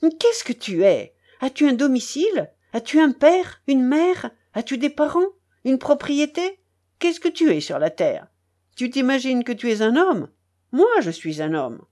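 A domineering grown prince in an audiobook, berating and indignant, as a woman acts him out. His voice is pushed high and loud, with wide, lively swings of pitch. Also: measured pace. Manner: scolding, indignant tirade. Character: angry, domineering grown man, a proud prince.

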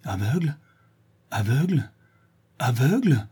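A brutal brigand struck blind, from a storybook, acted out by a male reader. The voice jumps high and loud, with exclamations and anguished repeated words. It keeps a soft, husky grain. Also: animated, moderate pace. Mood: desperate. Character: brutal male brigand, newly blinded, raging then pitifully despairing.

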